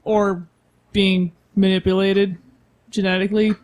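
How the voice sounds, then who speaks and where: A woman's voice: medium pitch, flat delivery, unhurried, a little mellow. A woman playing a tabletop game, chatting casually with short, playful interjections.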